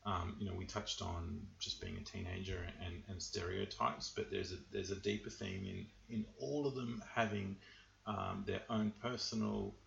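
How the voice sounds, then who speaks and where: An Australian man in his forties hosting a casual podcast chat, relaxed and asking questions. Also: low-pitched, normal speed, creaky. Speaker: podcast host. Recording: roomy dull-sounding recording.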